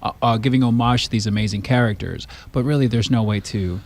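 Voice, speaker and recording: A man's voice: mid-range voice, quick pace. A man, a stage actor, talking casually as a guest in a radio interview. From born in Cuba, raised in the United States. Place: radio studio.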